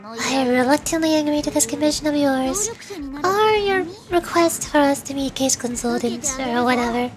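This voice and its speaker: A woman's voice: sits high, animated, moderate pace. A woman voicing a shrewd, sassy young cat-girl mercenary in a video game, teasing and nonchalant. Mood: reluctant. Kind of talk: video game dialogue read aloud in character.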